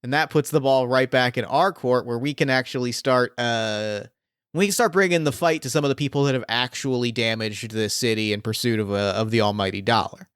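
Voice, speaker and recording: A man playing a smooth-talking arms dealer. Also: medium pitch, fairly quick, a bit bright, dry recording, studio quality, voice pushed down, lightly acted. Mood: scheming. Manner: smooth salesman patter, placating. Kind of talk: improvised tabletop roleplay.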